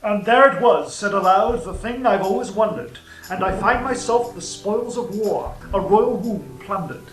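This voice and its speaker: A man's voice: sits high, expressive, moderate pace, few pauses, a bit bright, echoey room, poor audio. An actor voicing a wry young royal poet who narrates his tale in rhyme. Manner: rhyming, wry.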